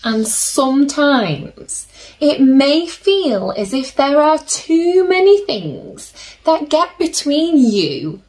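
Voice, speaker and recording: A woman in her thirties reading a picture book aloud to children in a gentle, deliberate manner, with chatty asides. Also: high voice, sing-song, rough recording. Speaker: children's story reader. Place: small plain room indoors.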